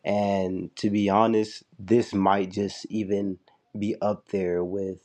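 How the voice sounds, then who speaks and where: Young guy, deep low voice, kinda sick, rambling casual review talk. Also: flat delivery, slow pace, a little mellow. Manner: loose and chatty, full of "like" and restarts. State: a little sick. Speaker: show reviewer.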